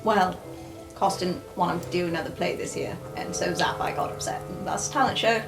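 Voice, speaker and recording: A woman's voice: lowish voice, fairly quick. A woman playing a tabletop roleplaying game, talking in casual improvised chat. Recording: roomy sound under music.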